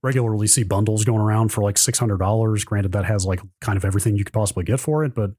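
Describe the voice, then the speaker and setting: A slightly hoarse man co-hosting a tech podcast, rambling with enthusiasm and lots of fillers. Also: lowish voice, fast, a little mellow. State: a bit hoarse. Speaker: podcast co-host. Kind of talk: casual tech chat.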